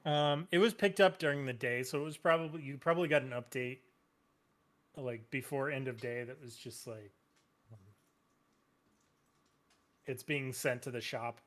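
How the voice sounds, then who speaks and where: A man running a tabletop game as game master, talking in a relaxed way with lots of fillers. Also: mid-range voice, moderate pace, long pauses. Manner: relaxed, filler-heavy, easygoing. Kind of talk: casual tabletop game narration.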